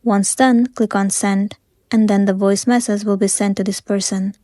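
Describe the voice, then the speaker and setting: A woman's voice: mid-range voice, steady tone, normal speed, dark tone, no room sound, clean studio sound. A woman narrating a step-by-step tutorial in a plain-spoken, instructional way, as a scripted voice-over.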